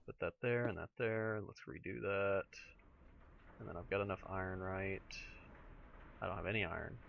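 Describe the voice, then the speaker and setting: Raspy, slightly hoarse man gaming. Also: low voice, normal speed, phone-quality sound, slight background noise. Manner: chatty, talks to himself while playing, excitable. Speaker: let's play gamer. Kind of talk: casual gameplay commentary.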